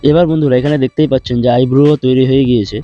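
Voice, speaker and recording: A man narrating a step-by-step screen tutorial in a steady instructional way, addressing viewers as friends. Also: medium pitch, very fast. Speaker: tutorial host. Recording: dull lo-fi with faint music.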